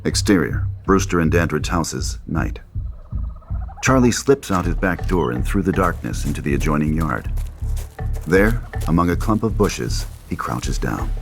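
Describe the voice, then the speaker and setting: Male narrator, very low register, reading horror screenplay directions over prominent music. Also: big pitch swings, measured pace, vocal fry. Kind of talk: scripted screenplay narration, horror table read. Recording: loud music under the voice.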